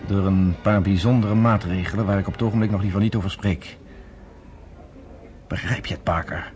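Male actor as confident resistance leader, low voice, courteous, formal, measured, persuasive, radio play. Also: steady tone, a little mellow, close to an everyday voice. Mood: calm, scheming. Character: confident, persuasive underground leader, former army captain, courteous.

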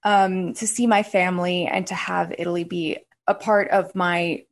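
A woman's voice: on the low side, steady tone, normal speed. A young woman from the United States, chatting expressively as an interview guest and laughing often. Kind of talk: casual interview chat.

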